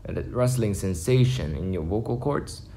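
A man's voice: on the low side, animated, moderate pace, dark tone. A man in his twenties giving a casual tutorial to camera, explaining things simply, step by step. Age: 20s. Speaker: beatbox instructor. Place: small home room indoors. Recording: faint background noise, home room.

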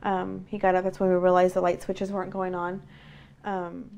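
A woman's voice: lowish voice, completely flat delivery, normal speed, creaky, darkish tone, a little hiss or hum. A woman, around her thirties, giving a casual personal account in an interview at home. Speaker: interviewee recounting a break-in. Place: living room and rooms of a home.

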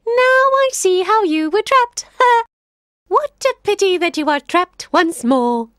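A woman voicing a tricky male jackal who talks in a fast, fawning and giggly way in a storybook. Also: extremely high pitch, lively intonation, fairly bright tone, no room sound, studio quality, pitched above the performer's own voice, full character voice. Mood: gloating. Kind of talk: storybook read-aloud.

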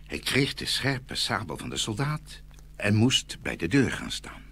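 A man's voice: on the low side, very animated, a little slow, vocal fry, bright, forceful tone, slight background noise. A man narrating a scripted fairy tale as a dramatic storyteller.

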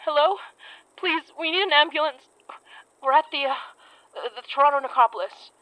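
An actress playing a frightened caller pleading haltingly for an ambulance in a horror audio drama. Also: female voice, high voice, bright, forceful tone, a little hiss or hum, low-quality recording. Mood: urgent, pleading.

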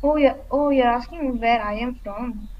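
A young woman from Delhi teases a stranger over a webcam in a fairly high voice. The audio is dull and muffled. A faint hiss sits under it. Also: moderate pace. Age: young adult. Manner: playful, teasing. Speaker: video chat stranger. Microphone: webcam video call.

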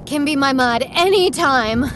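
High-voiced young action fighter. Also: female voice, lively intonation, measured pace. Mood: hesitant. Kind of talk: audio drama acting. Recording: clearly noisy background ambience.

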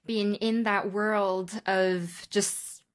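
A woman's voice: medium pitch, steady tone, extremely slow. A woman in her thirties from China, chatting candidly and casually as an interview guest on a video call. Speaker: guest, photographer. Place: indoor room, remote video call.

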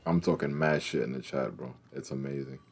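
A man's voice: a bass voice, fairly quick, slightly rough. A man casually chatting over gameplay as a streamer, slangy and full of trash talk. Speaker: gaming streamer.

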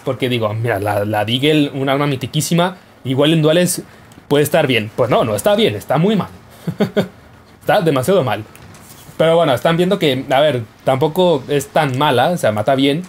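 A young man giving casual, joking commentary over his gameplay. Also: on the high side. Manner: playful, jokey, yelps and laughs while playing. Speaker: gaming YouTuber.

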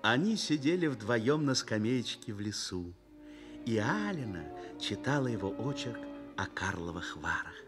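A man narrating a radio drama in an expressive literary style. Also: fairly low pitch, unhurried. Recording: music bed behind narration. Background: music.

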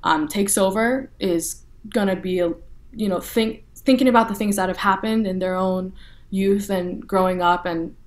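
A woman's voice: medium pitch, a little slow. Young Texan woman chatting casually. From North Texas, USA. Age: young adult. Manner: relaxed, frequent fillers like "you know" and "like". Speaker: interview guest, violinist. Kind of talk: casual interview talk.

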